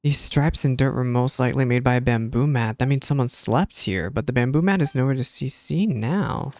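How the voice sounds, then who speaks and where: A woman's voice: rumbling low, a little fast, darkish tone, dry recording. Woman streamer reacting to a game. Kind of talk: casual game reaction commentary, reading on-screen text aloud.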